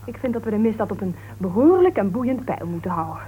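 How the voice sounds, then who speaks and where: A woman playing a sharp-tongued, argumentative crime writer in a Dutch radio play, speaking in a pointed, goading way. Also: medium pitch, big pitch swings, fast talker, close to an everyday voice. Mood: disdainful. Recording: old radio recording, narrow tinny band, hiss.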